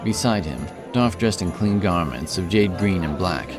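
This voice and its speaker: A man's voice: low voice, lively intonation, normal speed. A man narrating a scripted fantasy story. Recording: narration over prominent music. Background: music.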